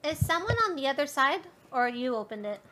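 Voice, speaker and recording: A woman's voice: high voice, lively intonation, a little fast, crowd noise. A woman chatting casually as a player in a group online game.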